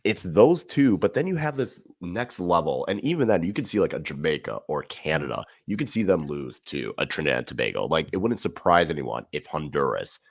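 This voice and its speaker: A man's voice: rumbling low, brisk, few pauses, no echo, studio quality. A man as podcast guest talking through sports in casual chat, rambling and correcting himself as he goes. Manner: animated, rambling analysis with self-corrections.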